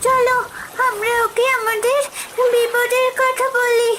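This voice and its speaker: A woman's voice: very high voice, little pitch movement, slow pace, noisy background. A woman voicing a small, distressed female fish, a pleading younger sister in a children's cartoon. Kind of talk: Bengali cartoon voice acting.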